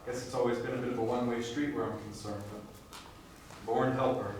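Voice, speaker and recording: A man's voice: mid-range voice, steady tone, fairly quick, close to an everyday voice. A man playing a self-effacing, easygoing fellow in a stage musical comedy, modest and hesitant. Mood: earnest, modest. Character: mild, self-effacing easygoing man finding his nerve. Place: live staged reading before audience. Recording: echoey stage, distant mic, faint noise.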